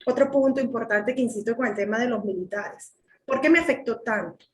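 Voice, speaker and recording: A woman's voice: fairly high pitch, fairly quick, echoey room, a little hiss or hum. A woman from Venezuela with a Venezuelan accent, giving indignant political commentary and repeating words as she gets worked up.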